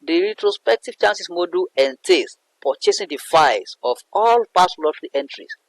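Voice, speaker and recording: A man's voice: high voice, lively intonation, normal speed, no echo, low-quality recording. A man explaining a poem as a literature teacher, in a didactic way that repeats and rephrases points. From Nigeria. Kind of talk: explanatory poetry analysis lesson.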